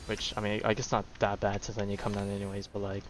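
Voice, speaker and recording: A man streaming a video game, chatting casually in a rambling, halting way as he thinks aloud. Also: fairly low pitch, monotone, background noise. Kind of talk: casual livestream chat over gameplay. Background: game music and battle sounds.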